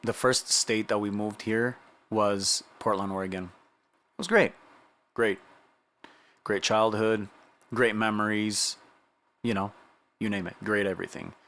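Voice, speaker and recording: A man's voice: fairly low pitch, steady tone, unhurried, long pauses, a bit bright. A middle-aged man from Romania telling a personal story in a casual, conversational way, often checking in with the listener. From Romania, moved to the United States at nine. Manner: conversational, checks in with listener with "you know" and rhetorical questions. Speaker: vlogger sharing personal testimony.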